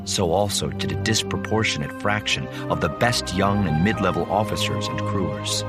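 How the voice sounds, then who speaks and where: A man reading dramatic scripted fiction as an audiobook narrator. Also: low voice.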